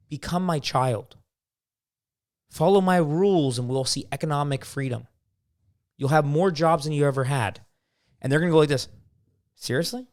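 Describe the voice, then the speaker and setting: A man co-hosting a casual talk podcast, giving firm opinions and explaining with everyday examples. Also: on the high side. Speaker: podcast co-host. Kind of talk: casual chat.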